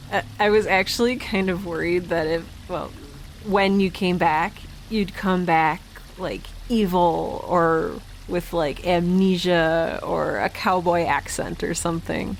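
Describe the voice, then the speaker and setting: An actress as a tired young woman scientist in a space audio drama. Her voice is slightly low and her pace very slow and halting, with a wry, anxious edge. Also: vocal fry, slight background noise, rough recording. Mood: wary, hesitant. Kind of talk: naturalistic audio drama acting.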